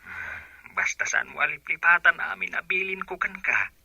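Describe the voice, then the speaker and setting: A man's voice: on the high side, very animated, normal speed, creaky, sharp tone, breathy edge, dry recording, exaggerated voice. A man playing a fond, admonishing father who gives fatherly advice in a radio drama. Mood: fond, advising. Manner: fatherly, advising.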